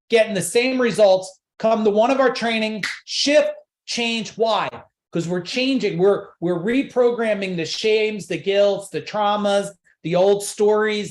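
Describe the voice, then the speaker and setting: A man's voice: high-pitched, roomy sound. A man coaching a live online seminar, pushing emphatic rhetorical questions and repeating his points. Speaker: business coach.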